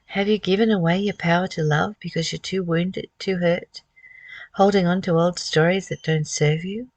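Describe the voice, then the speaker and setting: A woman's voice: fairly low pitch, fairly even intonation, moderate pace, a little mellow, no room sound. A woman giving a spiritual talk as a teacher, reading and reflecting with rhetorical questions.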